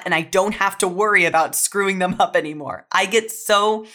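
Female podcast guest, writer, low register, conversational and emphatic. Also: fairly bright tone. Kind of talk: casual panel discussion.

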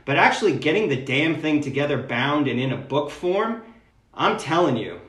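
A man's voice: a little high, big pitch swings, moderate pace, fairly bright tone. Man speaking in a roomy echo. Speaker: guest poet. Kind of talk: conversational literary interview. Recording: roomy, echoing sound.

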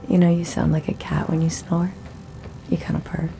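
A woman's voice: fairly low pitch, level tone, normal speed, vocal fry, dark tone, a little hiss or hum. An actress playing a woman operator who works as a sweet, attentive virtual assistant in an audio drama.

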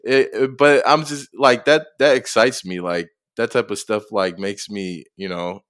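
A man's voice: fairly low pitch, fairly even intonation, a little slow, a bit bright, dry recording, clean studio sound. A young man from Tonga giving casual, somewhat hesitant interview answers as a college football player. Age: young adult.

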